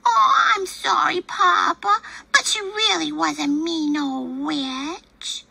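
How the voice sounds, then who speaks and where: A woman voicing an excitable, apologetic little girl chicken in a picture-book read-aloud, with a high childlike voice. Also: takes it slowly, creaky, full character voice, poor audio. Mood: pleading. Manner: high childlike voice, pleading and bursting in.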